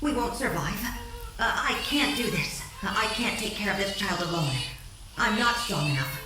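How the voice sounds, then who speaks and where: A woman's voice: mid-range voice, lively intonation, normal speed, noisy background, natural voice. An actress playing a desperate young wife who frantically begs her husband not to leave, in an audio drama. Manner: pleading, breathless, frantic. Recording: echoey, distant, with music underneath.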